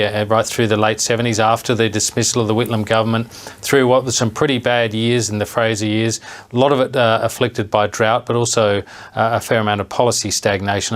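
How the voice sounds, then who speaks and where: An Australian man giving live political analysis, measured and reflective, with some hesitation. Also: on the low side. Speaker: political commentator.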